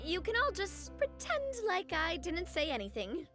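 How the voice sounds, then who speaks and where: A spirited young lady boss in an anime video game. Her voice is high and swings widely in pitch, emphatic and theatrical, with music behind it. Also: huge pitch swings, a little slow, full character voice. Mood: sheepish.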